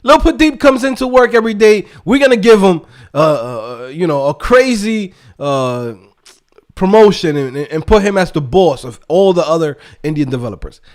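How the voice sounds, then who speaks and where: High-pitched, animated man in a motivational rant. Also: moderate pace. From Dominican Republic. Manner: emphatic, streetwise, slangy, peppered with tag questions. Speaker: YouTube host and developer coach. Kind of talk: casual motivational rant to viewers.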